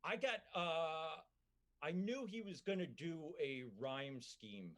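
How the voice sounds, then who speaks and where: A comedian with a Minnesotan accent, chatting on a podcast in a halting way full of fillers and restarts. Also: male voice, on the high side, animated, fairly bright tone. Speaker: comedian guest. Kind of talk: casual podcast chat.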